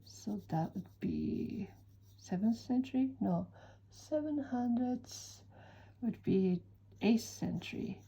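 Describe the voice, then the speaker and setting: A woman with a Japanese accent talks slowly and softly as she draws, pausing often to gather her thoughts. Her voice is dark and mellow with a husky edge. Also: on the high side, expressive. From western Japan. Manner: soft-spoken, unhurried, with frequent "uh" pauses. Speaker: art instructor. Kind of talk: relaxed chat while drawing.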